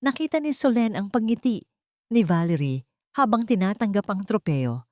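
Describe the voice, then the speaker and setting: A woman's voice: medium pitch, animated, moderate pace, darkish tone, dry recording, clean studio sound. A woman narrating a scripted audio drama in a solemn, emotive storytelling manner.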